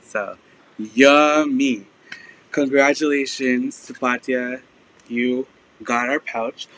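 A man in his thirties hosts a live online sale, talking slowly and unhurriedly into a desk webcam. He has a playful, animated way of announcing things. A faint hum of room noise sits behind him in the small room. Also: medium pitch, rough recording. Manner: animated, playful announcing with sing-song countdown. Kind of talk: livestream selling chat. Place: small indoor room with shelves of plush toys.

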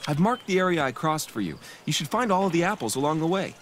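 Quick, high-voiced farm lad. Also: male voice, animated, fairly bright tone, slight background noise. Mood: sheepish, wry. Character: good-natured, put-upon teenage farm boy. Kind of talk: video game voice acting.

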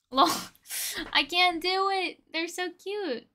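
A young woman talks casually to her livestream viewers. Her voice is very high and bright. She is playful and expressive, with plenty of slang in an informal, chatty flow. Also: slow pace. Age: young adult. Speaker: livestream host. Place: indoor room with desk and shelves of collectibles.

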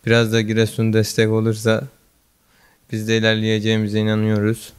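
A teenage young man answering interview questions in short, modest replies, speaking into a handheld mic in a school office. His delivery is flat and unvaried, and his voice comes through very smooth and clear. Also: on the low side, fairly quick. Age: teens. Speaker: student athlete. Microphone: handheld mic held by interviewer.

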